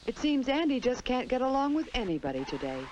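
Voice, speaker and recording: A woman's voice: sits high, big pitch swings, fast talker, darkish tone, dull audio, slight background noise. An American woman narrating a 1950s children's instructional film in a simple storytelling manner, on an old film soundtrack. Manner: simple, storytelling delivery addressed to young children.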